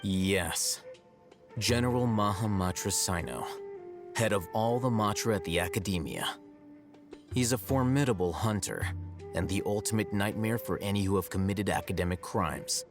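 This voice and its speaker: Casting: sardonic scribe played by a man, low self-assured voice with expressive swings, articulate and formal, fantasy game with music behind. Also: lightly acted. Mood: explaining. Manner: articulate, wry, measured formality. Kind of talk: dramatic video game voice acting.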